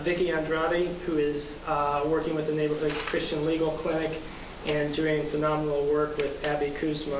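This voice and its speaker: A man from Kentucky talks politely at a forum, with many "uh" fillers, in a slightly high, flat voice. He sounds distant in an echoey hall, with hiss beneath him. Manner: polite, filler-heavy opening remarks. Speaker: immigration attorney. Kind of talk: public talk at a forum.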